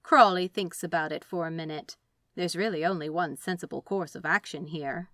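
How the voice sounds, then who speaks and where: A woman reading a story aloud as narrator, in an expressive scripted storytelling style. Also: on the low side, moderate pace, no echo, clean studio sound.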